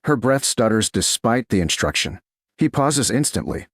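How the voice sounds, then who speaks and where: A man narrating a scripted romance audiobook in a slow, measured, dramatic manner. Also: medium pitch, sing-song, no echo, studio quality.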